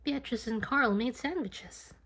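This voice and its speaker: A woman reading a picture book aloud to children in an expressive, dramatic storytelling manner. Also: on the high side, unhurried, slightly rough. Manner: expressive, dramatic, with whispers and playful sound effects.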